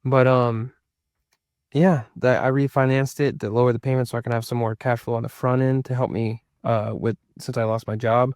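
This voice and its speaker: A man's voice: mid-range voice, little pitch movement, normal speed, a little mellow, dry recording, clean studio sound. A man of about thirty chatting casually to his viewers as a vlogger, rambling and repeating himself for emphasis. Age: around 30. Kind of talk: casual personal chat to viewers.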